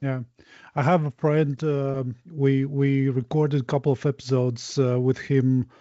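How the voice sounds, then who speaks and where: Hesitant host with a mellow voice. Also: male voice, medium pitch, little pitch movement, dry recording, highs cut off. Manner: hesitant, halting, many uhs. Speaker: podcast host. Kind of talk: interview questions.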